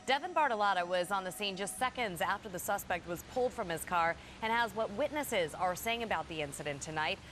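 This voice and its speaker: A female news anchor reads from a script in broadcast style. Her voice sits at an average pitch for a woman. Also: animated, brisk, few pauses, fairly bright tone, a little hiss or hum.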